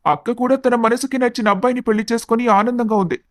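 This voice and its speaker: A man playing an earnest, apologetic young adult son in an animated family story, explaining himself pleadingly. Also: very high-pitched, fast, no room sound, studio quality.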